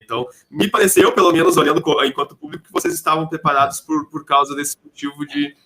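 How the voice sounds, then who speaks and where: A man's voice: a little high, quick pace, pushed and bright. A man hosting a casual podcast interview, talking in a rambling, circling way.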